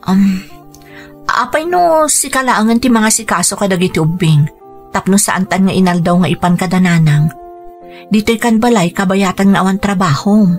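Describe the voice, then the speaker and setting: A woman acting a gentle, caring family woman in an Ilocano radio drama, speaking in an earnest, measured way. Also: medium pitch, faint music behind, natural voice. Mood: gently persuasive.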